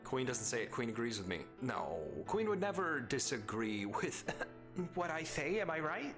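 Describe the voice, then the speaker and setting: Brief: man, Arizona accent, casual streamer banter, very lively pitch. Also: moderate pace, over music. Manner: playful, teasing, rambling jokes. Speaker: live streamer host. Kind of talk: casual chat with viewers.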